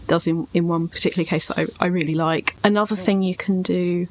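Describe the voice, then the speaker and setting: A woman's voice: on the low side, steady tone, fairly quick, slight background noise. A woman co-hosting a discussion of writing craft, explaining points in articulate, emphatic phrases. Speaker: podcast co-host, writing coach.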